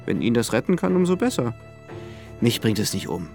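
An actor playing a moody young gentleman in a radio play, musing dismissively to himself, with music underneath. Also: mid-range voice, sing-song, brisk, vocal fry. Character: moody young gentleman, brooding over money.

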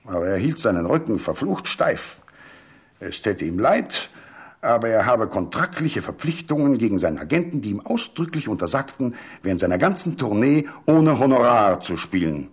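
A man voicing a proud, irritable and excitable gentleman, gruff and emphatic, in a literary radio story. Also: fairly low pitch, normal speed, pitched above the performer's own voice, heavily acted. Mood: annoyed. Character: proud irritable male amateur chess player, excitable.

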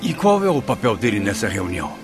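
A man's voice: fairly low pitch, very animated, a little fast, over music. Awestruck, swooping-voiced devout spirit newcomer, male. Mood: curious. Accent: Brazilian. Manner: theatrical, exclaiming, wonderstruck. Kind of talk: radio drama acting, formal literary diction.

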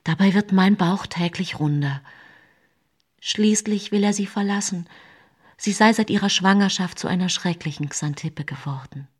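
An actress playing a scheming woman poisoner with a husky voice, narrating her own story in a radio play. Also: medium pitch, normal speed, natural voice. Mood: smug, controlled. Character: scheming woman poisoner, outwardly caring, coldly calculating. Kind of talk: dramatic first-person radio play narration.

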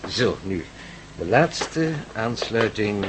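A man acting in a Dutch radio play as a learned, excitable professor who explains things in measured phrases. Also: on the low side. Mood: pensive, lecturing. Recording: faintly noisy radio drama recording.

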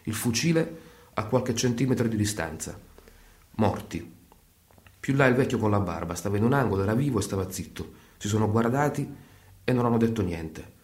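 A man's voice: on the low side, lively intonation, moderate pace, a little mellow. A man narrating radio theatre as a storyteller, colloquial and dramatic, in clipped short phrases. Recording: roomy, echoing sound.

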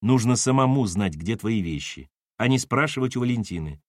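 Casting: exasperated mother read by a male narrator, brisk, scolding, commanding, animated intonation, audiobook. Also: medium pitch, no room sound, studio quality, close to an everyday voice.

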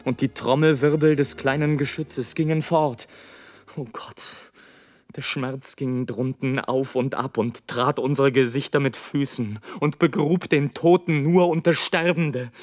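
An actor playing an anguished, visionary balloonist in a literary radio play, declaiming a solemn dramatic monologue. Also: male voice, mid-range voice, natural voice. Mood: anguished, bitter. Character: anguished, visionary balloonist narrating war below, bitter and rapturous.

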